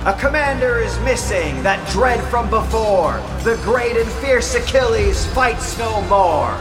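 A man's voice: very high voice, lively intonation, voice pushed up. A man voicing an angry god in an epic audio drama, calling out a commanding, chanted battle cry. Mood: angry rallying cry. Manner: declamatory, commanding, drawn-out chanting delivery. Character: angry god Apollo shouting bold battle exhortation from a tower. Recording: echoing, distant voice over music.

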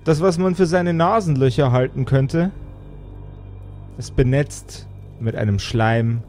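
Slow-spoken male storyteller over music. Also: mid-range voice, warm tone. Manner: deliberate, descriptive storytelling. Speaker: game master. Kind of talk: improvised fantasy pen-and-paper narration. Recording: music behind the voice.

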